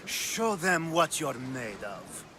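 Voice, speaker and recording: A man playing an urbane, flirtatious Athenian gentleman in a video game, with a theatrical, teasing manner. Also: on the high side, very animated, slow pace, a little hiss or hum, exaggerated voice. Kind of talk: video game character acting.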